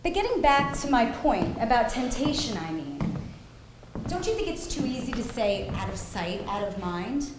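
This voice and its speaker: A woman's voice: a little high, very animated, normal speed. An actress playing a self-assured, philosophizing woman in a live stage drama, speaking in a wry, declarative way. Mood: assured, persuasive. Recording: very echoey, noisy live theater recording.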